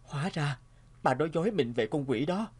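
A man playing a troubled, sentimental family man in an animated drama, speaking earnestly and reflectively. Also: fairly high pitch, a little fast, slightly rough. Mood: pained.

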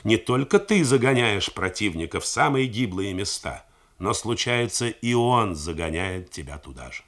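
A man narrating a novel in a measured, theatrical reading style for a 1980s radio broadcast. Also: lowish voice, animated, fairly bright tone. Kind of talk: scripted literary narration.

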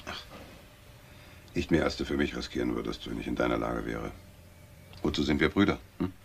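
A man's voice: very deep voice, fairly quick, creaky, a little hiss or hum. An actor playing a composed, practical, protective businessman brother in a German crime radio drama. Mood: concerned.

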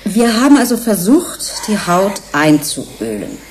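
A woman's voice: mid-range voice, huge pitch swings, a little slow, a little hiss or hum. A woman playing a frank research scientist in a radio play, explaining things in an emphatic way. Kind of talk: German radio play acting.

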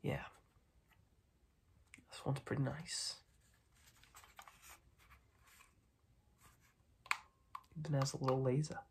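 A young man voicing a gruff, dismissive, streetwise mob boss in a soft-spoken ASMR roleplay, breathy and clipped. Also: medium pitch, level tone, a little hiss or hum, natural voice. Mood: sly, amused. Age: young adult. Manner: slow, clipped tough-guy talk with repeated phrases. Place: small dim room at home, indoors.

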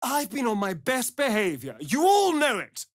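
An actor playing a vain, sardonic vampire rogue in a video game, with a British accent and an arch, aristocratic, theatrical delivery. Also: male voice, extremely high pitch, huge pitch swings, moderate pace, no room sound, studio quality, full character voice. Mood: exasperated. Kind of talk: theatrical game character dialogue.

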